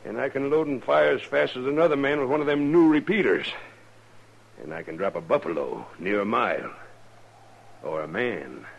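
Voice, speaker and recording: An actor playing a brutal, boastful older buffalo hunter in a 1950s American radio western, with a rough frontier drawl. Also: male voice, mid-range voice, lively intonation, normal speed, muffled recording. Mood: boastful menace. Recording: faintly noisy old radio recording.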